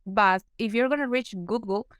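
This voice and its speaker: Peruvian woman guest, halting second-language English, smooth and clear, dry close sound. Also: fairly high pitch, fairly bright tone, no echo. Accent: Peruvian Spanish. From Peru. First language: Spanish. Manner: halting, searching for English words. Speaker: guest consultant, periodontist. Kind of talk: interview answers.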